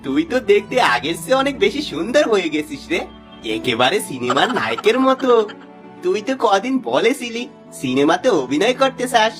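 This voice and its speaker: A man's voice: high-pitched, sing-song, brisk, fairly bright tone, soft background music. A man voicing a sly, smug middle-aged village swindler in a cartoon fable, with a wheedling, theatrical delivery. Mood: flattering, coaxing. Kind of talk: Bengali cartoon fable voice acting.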